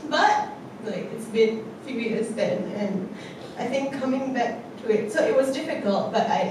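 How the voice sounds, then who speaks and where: A woman actor speaking emotionally and chattily on a post-show panel, with nervous laughs. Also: on the high side. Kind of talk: casual panel chat. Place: indoor theatre stage. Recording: echoey theatre, distant mic, noisy.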